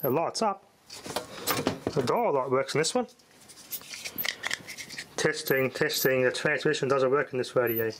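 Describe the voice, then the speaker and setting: Male hobbyist, mid-pitched, unscripted demo commentary, offhand delivery, recorded with heavy ambient noise. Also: unhurried, pauses often. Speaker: hobbyist demonstrating radio equipment. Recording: loud ambient noise throughout.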